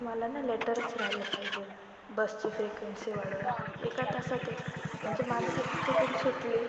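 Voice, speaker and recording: A woman's voice: a little high, close to an everyday voice. Woman acting grumbling young student, noisy muffled recording. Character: grumbling, daydreaming female student on her commute. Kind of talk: inner-monologue narration in an audio story. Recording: roomy, muffled, loud noise behind. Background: loud background noise.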